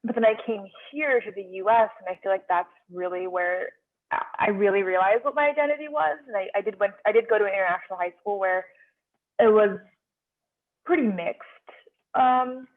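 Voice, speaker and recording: A young woman from Nepal sharing a casual, animated story as an audience member on a video call. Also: mid-range voice, fairly quick. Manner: chatty, animated storytelling with many fillers. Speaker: audience participant. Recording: tinny phone-like video call audio.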